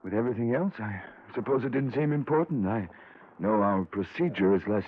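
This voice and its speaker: Flustered, low-voiced company manager. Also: male voice, expressive. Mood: flustered, apologetic. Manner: halting, uneasy. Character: flustered, defensive airline manager. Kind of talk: 1950s radio drama acting. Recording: noisy, phone-like vintage radio recording.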